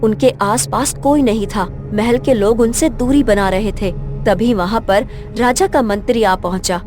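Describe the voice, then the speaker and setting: A woman narrates a scripted story. Her voice is pitched a little high, in a storyteller's delivery. Faint music plays underneath her narration. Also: a little slow.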